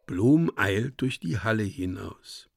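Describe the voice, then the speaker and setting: An actor playing a theatrical narrator in a literary radio play, deliberate and emphatic, relishing grotesque detail. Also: male voice, lowish voice, expressive, vocal fry, darkish tone, full character voice. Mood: vivid, breathless listing.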